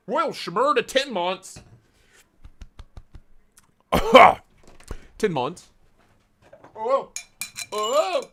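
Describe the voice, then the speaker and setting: Lively joking man hosting a stream. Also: on the high side, noisy background. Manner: joking, greets chat viewers by name. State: losing his voice. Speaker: live stream host. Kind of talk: casual live stream chat.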